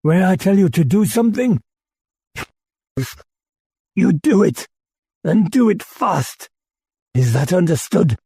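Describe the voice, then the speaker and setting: Man acting a loud, drunk, bullying guard commander. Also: sits high, expressive, slow pace, pauses often, breathy edge, no echo, studio quality, voice pushed up, exaggerated voice. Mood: angry, barking. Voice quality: raucous, slurred. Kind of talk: audiobook character acting.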